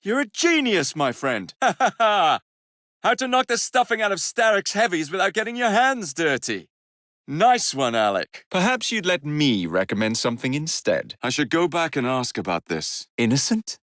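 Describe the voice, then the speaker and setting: Young English gang leader, roguish, wry, expressive pitch swings, close dry sound, video game hero. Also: male voice, normal speed, dry recording. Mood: wry, confident. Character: cocky young male Victorian London gang leader.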